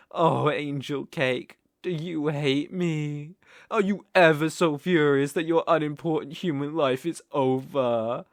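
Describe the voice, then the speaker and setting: A man's voice: a little high, no room sound. A man acts out a gloating British sorcerer for an ASMR roleplay. He takes his time, sliding between syrupy cooing and smug menace as he dotes on his captive. The sound is close and dry. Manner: cooing baby talk mixed with smug menace. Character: gloating, doting, cruel male sorcerer captor.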